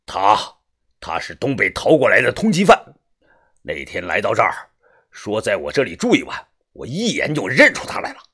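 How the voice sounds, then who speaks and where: A man's voice: fairly low pitch, sing-song, normal speed, gravelly, a bit bright, husky, pitched below the performer's own voice, exaggerated voice. A man voicing a short-tempered older man guarding a field, who barks blunt, scornful lines. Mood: gruff. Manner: barking, blunt.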